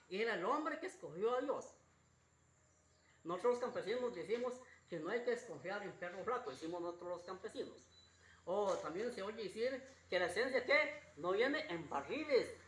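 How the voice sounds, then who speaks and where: A preacher with a slightly husky voice gives an informal Bible sermon in a halting, repetitive, homespun way. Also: medium pitch, pushed and bright. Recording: roomy echo with faint background noise.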